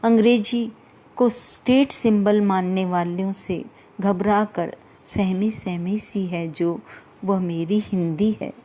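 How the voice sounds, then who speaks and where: A woman reciting a poem with slow, impassioned delivery at a Hindi day event. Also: mid-range voice, fairly even intonation, dark tone. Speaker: poetry reciter.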